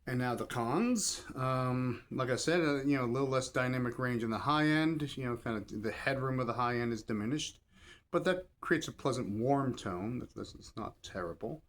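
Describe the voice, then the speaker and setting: A man giving a casual, off-the-cuff review as a shop host, rambling and pausing mid-thought to rephrase. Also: mid-range voice. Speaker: record shop seller and host. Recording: clear background noise and ambience.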